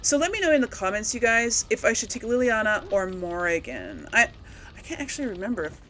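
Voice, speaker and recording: A woman's voice: fairly high pitch, animated, a little fast, a bit bright, slight background noise, poor audio. Woman casually rambling through game commentary. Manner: rambling, thinking aloud. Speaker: host of a game playthrough series. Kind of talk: casual gaming commentary talking to audience.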